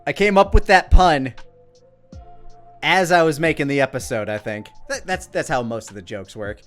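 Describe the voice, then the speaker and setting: A man's voice: fairly high pitch, expressive, normal speed, a bit bright, soft background music. A man giving casual, self-deprecating off-the-cuff commentary on his own comedy work. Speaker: creator commenting on own abridged anime episode.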